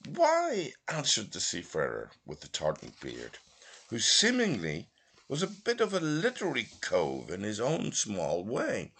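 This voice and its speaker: Deep, husky man reading expressively. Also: wildly swooping pitch, measured pace, sharp tone. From Belfast, Northern Ireland. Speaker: literary reader. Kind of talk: expressive literary audiobook narration.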